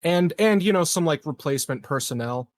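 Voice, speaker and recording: A man's voice: fairly high pitch, expressive, brisk, no room sound, clean studio sound. A man chatting casually as a player in a tabletop roleplaying game, negotiating with the game master.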